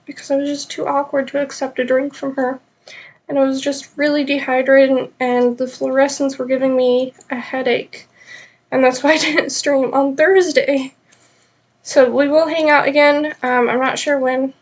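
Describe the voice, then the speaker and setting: Sniffly high-voiced woman knitting on stream. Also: little pitch movement, moderate pace. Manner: rambling, self-deprecating, many ums. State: sniffly, stuffed-up nose. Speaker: knitting vlogger streaming live. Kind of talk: casual live-stream chat while knitting.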